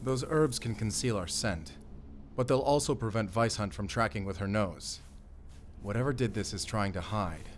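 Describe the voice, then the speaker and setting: An actor playing a stern, secretive werewolf hunter with a German accent, giving terse, grave orders in a cinematic audio drama. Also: male voice, lowish voice, moderate pace, background noise, lightly acted. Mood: grave, hushed, wary.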